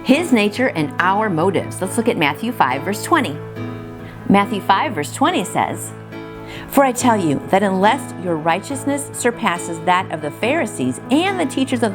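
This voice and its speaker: A woman reading a devotional in an earnest, conversational way, with casual asides. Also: lowish voice. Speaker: devotional host and reader.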